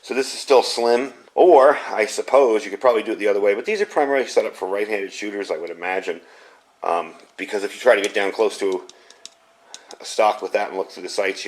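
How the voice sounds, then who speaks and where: A man giving a casual hands-on gear review indoors, explaining things in a rambling, informal way. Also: lowish voice. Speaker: product reviewer. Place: indoors at home.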